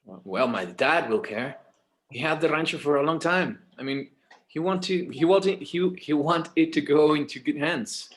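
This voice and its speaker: A man playing a harried, hesitant grown son in a screenplay table read, with a halting delivery that stumbles over words. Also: fairly high pitch, natural voice. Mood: doubtful. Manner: halting, stammering.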